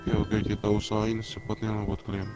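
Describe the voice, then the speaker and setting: A man's voice: on the low side, monotone, fast, lightly acted. A man roleplaying a cooperative street gang leader in an online game, talking informally and a little hesitantly. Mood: cooperative, casual. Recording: muffled game voice chat with music.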